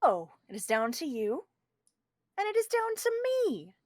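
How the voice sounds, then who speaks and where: A man's voice: extremely high pitch, wildly swooping pitch, measured pace, a little mellow, no room sound, studio quality, full character voice. A man playing a conceited, scheming criminal mastermind in a theatrical table read, pompous, wordy and argumentative. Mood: menacing, smug.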